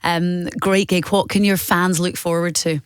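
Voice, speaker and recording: A woman's voice: lowish voice, fairly even intonation, measured pace, clean tone, no room sound, clean studio sound. A woman, a radio presenter asking chatty questions with eager interjections. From United Kingdom.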